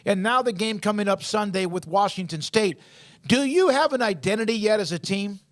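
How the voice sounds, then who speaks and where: A man hosts a sports radio show and asks friendly, wandering questions, restarting himself as he goes. His voice sits high for a man. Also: a little fast.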